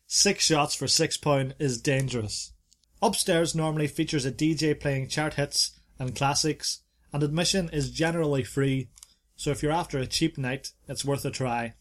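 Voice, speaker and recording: A man with a voice sitting a little on the high side, easygoing and chatty. He reads out reviews with a dry, playful edge, as if sharing them with a friend across the table. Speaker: podcast co-host. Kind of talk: casual chat reviewing bars.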